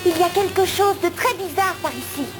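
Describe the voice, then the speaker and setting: A woman voicing a small, excitable, cheeky companion in a dubbed animated fantasy film. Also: very high-pitched, a little fast. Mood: urgent. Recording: very loud music under the dialogue.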